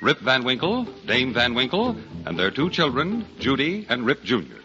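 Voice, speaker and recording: A man's voice: medium pitch, huge pitch swings, moderate pace. A man narrating as a 1940s radio announcer, with theatrical storyteller delivery. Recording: muffled 1940s radio recording. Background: music.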